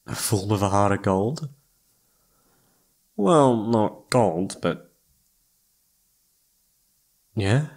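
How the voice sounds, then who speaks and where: A man playing a self-doubting, lovestruck best friend in an intimate ASMR roleplay, speaking softly and haltingly with tender hesitation. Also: lowish voice, expressive, full character voice. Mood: flattered, awkward. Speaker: amateur voice actor.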